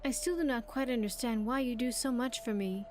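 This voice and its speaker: A woman's voice: fairly high pitch, a little fast, slight background noise, lightly acted. A woman voicing an earnest, grateful young survivor in a video game, chatty and sincere with slightly stilted phrasing. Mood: earnest, hopeful. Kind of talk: video game character dialogue.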